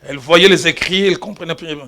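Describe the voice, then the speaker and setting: Man, pastor preaching in church, high voice, from hushed to loud. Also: fast talker, soft background music. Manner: storytelling preacher, swings from hushed asides to loud exclamations. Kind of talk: sermon.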